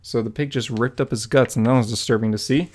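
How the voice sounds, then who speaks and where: A man's voice: mid-range voice, fairly even intonation, quick pace, darkish tone. A young man co-hosting a casual comic-book podcast, who laughs often as he summarizes a story. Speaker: podcast co-host.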